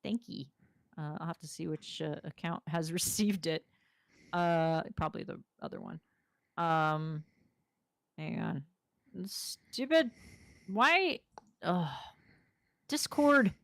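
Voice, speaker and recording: A woman chatting casually while playing a game, rambling and self-deprecating, her voice going hoarse after hours of talking. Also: low voice, animated, slow, long pauses, no room sound, clean studio sound.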